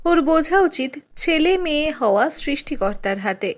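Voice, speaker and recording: Woman as high-voiced, caring village neighbour. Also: animated, normal speed. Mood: concerned, reassuring. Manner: reassuring, advising. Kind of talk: children's cartoon character acting.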